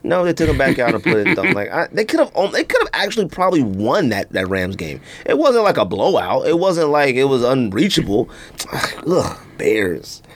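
A man co-hosting a sports podcast, trading casual banter and picks in an animated, joking, exclamatory way. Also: mid-range voice, normal speed.

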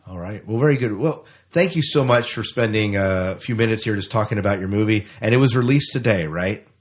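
A man's voice: lowish voice, a little fast. A man hosting a podcast in a casual, conversational interview style. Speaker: podcast host.

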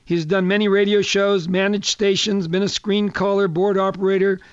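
An older man with a fairly high voice hosts a radio talk show, folksy and chatty and a touch hoarse. The sound is dry and close, with a slightly dull, muffled tone. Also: flat delivery, moderate pace, no echo.

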